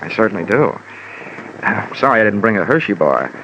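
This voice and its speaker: A man's voice: fairly low pitch, big pitch swings, a little fast, a bit bright, slight background noise. An American actor playing a wry, hard-boiled male insurance investigator in an old-time radio detective drama, with a sardonic, hammed-up delivery. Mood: sardonic, puzzled. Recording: dull vintage radio recording, music behind.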